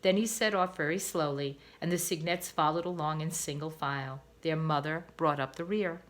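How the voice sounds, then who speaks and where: A woman's voice: deep voice, moderate pace. A woman teacher reading a children's novel aloud, careful with small slips. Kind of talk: storybook read-aloud.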